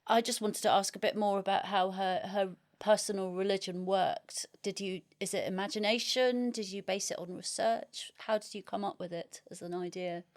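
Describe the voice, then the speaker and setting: A woman's voice: mid-range voice, fairly even intonation, normal speed, hardly any pauses. A woman hosting a literary podcast, talking conversationally and putting questions to a guest. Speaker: podcast host, interviewer.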